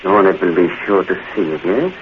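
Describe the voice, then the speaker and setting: A man's voice: sits low, lively intonation, fairly quick, phone-quality sound. An actor playing a scheming male enemy agent with a German accent and formal, clipped phrasing, in 1940s radio acting. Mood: gloating. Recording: thin hissy 1940s radio broadcast.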